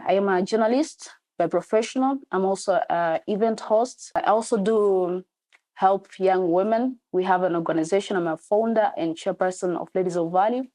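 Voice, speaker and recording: A woman's voice: mid-range voice, mellow. Confident young woman from Tanzania. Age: young adult. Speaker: journalist and event host. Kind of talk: testimonial interview.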